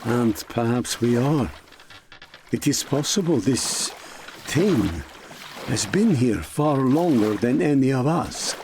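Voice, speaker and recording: A man as a slow, formal manservant. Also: medium pitch, animated. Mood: grave, uneasy. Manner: measured, formal. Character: formal, wary, loyal manservant, grave and thoughtful. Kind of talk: atmospheric audio drama. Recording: noisy sea and boat ambience.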